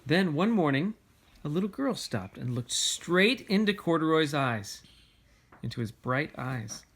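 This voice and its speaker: A man reading a storybook aloud as a father to his child, with gentle, conversational questions and asides. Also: a little high, big pitch swings, measured pace, a little hiss or hum.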